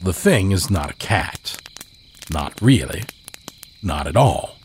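Very deep, slow male storyteller. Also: big pitch swings, slight background noise. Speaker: storyteller, narrator. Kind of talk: scripted horror fiction narration.

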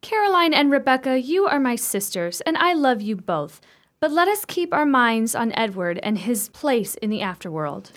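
Actress for gothic radio play: composed married sister, prim formal phrasing, high and very melodic. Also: female voice, very animated, normal speed. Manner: formal, genteel diction. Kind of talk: old-fashioned radio play acting.

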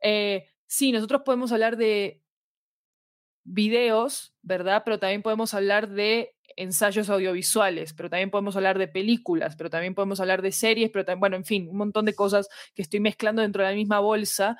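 A woman's voice: mid-range voice, fairly even intonation, a little fast, no echo, studio quality. A woman with a Rioplatense accent, an interview guest talking casually and reflectively, thinking aloud in colloquial language. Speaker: podcast producer, interview guest.

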